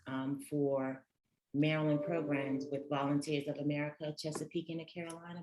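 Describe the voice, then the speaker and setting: A woman's voice: low-pitched, a little mellow, roomy sound, rough recording. A woman co-chairing and moderating an online learning session, speaking hesitantly with many fillers. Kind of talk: hosting a virtual learning session.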